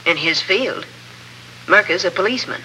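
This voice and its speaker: An actor playing a suspicious, coolly polite doctor in an old radio drama, with a measured, arch, formal manner. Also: male voice, high voice, animated, bright, forceful tone, slight background noise, exaggerated voice, poor audio. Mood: cool suspicion. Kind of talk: 1950s radio drama acting.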